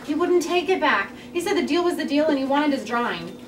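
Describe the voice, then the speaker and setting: A woman's voice: high-pitched, lively intonation, a little fast. Woman playing earnest young artist, echoey room. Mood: exasperated. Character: earnest, wry young female comic artist. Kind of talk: table read of a script. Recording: echoey, noisy room, distant mic.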